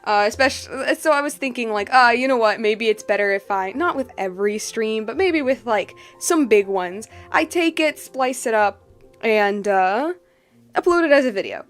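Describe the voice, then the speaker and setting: High-voiced woman streamer, rambling and informal. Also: lively intonation, normal speed, faint music behind. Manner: rambling, informal, circling back on phrases. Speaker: game streamer, host. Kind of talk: casual livestream chat.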